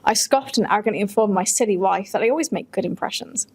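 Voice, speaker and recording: Woman, podcast co-host, informal chat and storytelling, average pitch. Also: quick pace, vocal fry, a little mellow, slightly rough. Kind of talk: casual chat, reading stories aloud.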